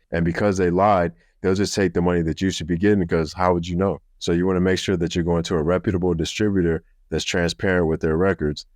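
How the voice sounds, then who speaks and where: A man with a deep voice giving a practical, matter-of-fact how-to overview, speaking deliberately slowly and clearly. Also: darkish tone, no room sound, studio quality. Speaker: video creator giving a how-to. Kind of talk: instructional talk to camera.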